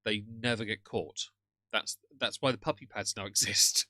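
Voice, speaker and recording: A man's voice: fairly low pitch, fairly even intonation, normal speed, bright, forceful tone, no echo. A man with a British accent hosting a casual live stream, ranting sarcastically and greeting chat viewers. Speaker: livestream host reacting to another streamer.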